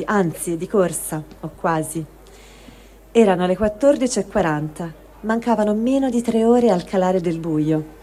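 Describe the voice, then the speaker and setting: A woman's voice: medium pitch, warm tone, slight background noise. A woman giving a dramatic reading of a first-person written testimony. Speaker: reader of a translated testimony.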